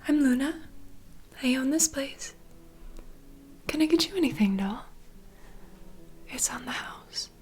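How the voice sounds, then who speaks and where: A woman playing a poised, courteous vampire bartender in a whispered ASMR roleplay, unhurried and softly teasing. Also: sits high, animated, breathy edge, faint music behind, exaggerated voice. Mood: intrigued, welcoming. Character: poised, welcoming female vampire bartender, proud mixologist.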